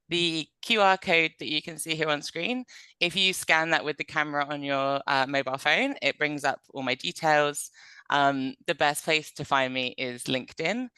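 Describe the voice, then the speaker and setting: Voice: mid-range voice, sharp tone, clean tone, no room sound, rough recording. A presenter from England, giving an informal webinar in a conversational, explanatory manner. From North Devon, England.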